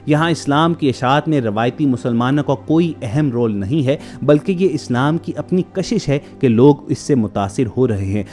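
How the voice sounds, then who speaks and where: Male report narrator, formal scripted delivery, mid-pitched voice, music bed underneath. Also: expressive, moderate pace, hardly any pauses, darkish tone. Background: background music.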